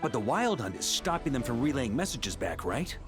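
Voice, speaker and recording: A man's voice: medium pitch, very animated, brisk, natural voice. A man voicing a confident, decisive military commander in a video game, speaking with assurance. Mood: resolute. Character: decisive, confident male commander, brisk and appreciative. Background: game music.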